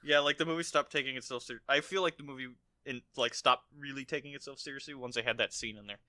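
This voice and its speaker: A man's voice: medium pitch, quick pace, bright, forceful tone, no echo, studio quality. An American man co-hosting a podcast, chatting casually about a film in a rambling, profane storytelling way. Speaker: podcast co-host. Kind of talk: casual film review chat.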